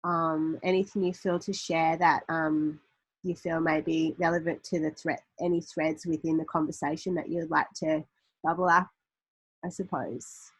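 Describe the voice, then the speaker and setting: A woman moderating a panel on a video call, hesitant and gently polite with many ums. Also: low-pitched, level tone, normal speed, breathy edge, poor audio.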